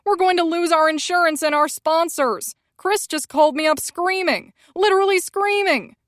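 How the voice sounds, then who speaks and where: A woman's voice: sits high, moderate pace, fairly bright tone, no room sound, studio quality. A woman voicing a panicky male publicist with a grating whine and a frantic, accusing way of talking. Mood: whining.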